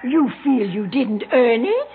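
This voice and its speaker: Casting: affectionate elderly widow for radio play, animated swooping voice, gently fussy; muffled 1960s recording. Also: female voice, fairly high pitch, a little hiss or hum. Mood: composed. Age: 80s. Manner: unhurried, gently fussy, theatrical. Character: genteel, calm, affectionate, slightly dotty elderly widowed mother. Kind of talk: 1960s radio drama acting.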